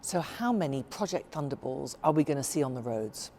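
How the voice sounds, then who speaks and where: A woman TV reporter narrating a feature and interviewing, with a measured, polished delivery. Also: extremely low pitch, lively intonation, mellow, a little hiss or hum. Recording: narration over background music.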